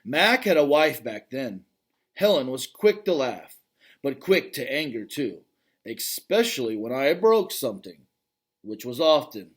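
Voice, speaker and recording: A man, a father, reading a children's novel aloud and pausing to chat with his listeners about the story. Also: mid-range voice, breathy edge. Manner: expressive reading, breaks off to comment directly to listeners.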